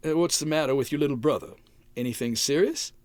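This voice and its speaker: A man's voice: on the high side, quick pace, a little mellow, no room sound, lightly acted. A man playing a folksy, exasperated, wisecracking husband in an old-time radio comedy. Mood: flustered.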